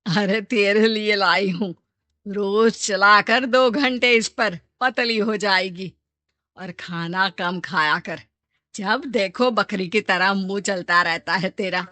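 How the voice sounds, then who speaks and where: A woman voicing a bossy, sharp-tongued middle-aged mother-in-law who scolds bluntly in a moral story cartoon. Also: mid-range voice, takes it slowly, dry recording. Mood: nagging. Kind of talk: animated moral-story character acting.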